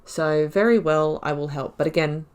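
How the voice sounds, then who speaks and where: A woman's voice: low voice, moderate pace, a little mellow, clear, resonant tone. A woman giving casual let's-play commentary, thinking aloud reflectively and reading out dialogue as her character. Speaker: gaming YouTuber.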